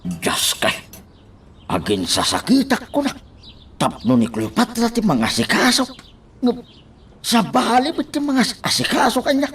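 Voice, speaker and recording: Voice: medium pitch, huge pitch swings, a little slow, long pauses, fairly bright tone, distant mic, slight background noise, heavily acted. A performer playing a cranky, demanding, complaining patient in a comic radio drama. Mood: whining. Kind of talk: comedic radio drama acting.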